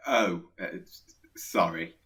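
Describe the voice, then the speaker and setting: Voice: deep voice, slow pace, a bit bright. Distant, stammering Pestilence played by an actor. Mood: nervous. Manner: stammering, hesitant. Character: Pestilence, anxious timid male horseman. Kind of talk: radio play acting. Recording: distant from the mic.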